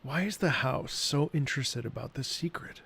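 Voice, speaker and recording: A man's voice: mid-range voice, moderate pace, vocal fry, a bit bright, slight background noise. A man giving casual playthrough commentary as a streamer reacting to a game.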